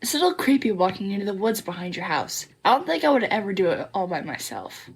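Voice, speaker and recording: A woman's voice: mid-range voice, very animated, fast, breathy edge. A woman playing an eager, chatty, impatient girl who helps tell a scripted podcast story. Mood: friendly, teasing.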